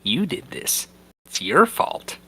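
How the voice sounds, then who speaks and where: A man's voice: fairly high pitch, huge pitch swings, slow pace, sharp tone, husky, full character voice, rough recording. A man voicing a menacing, sardonic male polar bear in a cartoon fan story. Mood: accusing.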